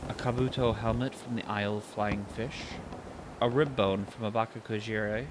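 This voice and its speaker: A man narrating a scripted fantasy audio story, with ambient sound design behind him. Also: mid-range voice. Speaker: narrator and author. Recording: clear background noise, ambient sound design. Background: ambient sound effects.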